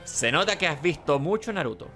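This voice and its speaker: A man's voice: a little high, expressive, brisk, pushed and bright, faint music behind. A man giving casual, rambling let's play commentary, talking to himself. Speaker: gaming host.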